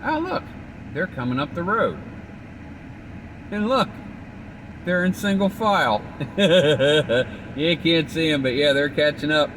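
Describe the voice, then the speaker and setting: A man's voice: on the high side, takes it slowly, long pauses. A man from Delaware talking casually while he drives, joking with the drivers and cyclists around him. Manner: talks to other drivers and cyclists, lively and joking. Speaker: vlogger driving. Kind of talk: casual driving chat. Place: inside a car on the road. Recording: distant mic in a noisy car.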